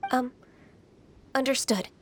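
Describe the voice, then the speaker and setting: High-voiced, earnest young female adeptus. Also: a little hiss or hum. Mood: hesitant, polite. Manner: polite, earnest, measured. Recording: music behind the voice.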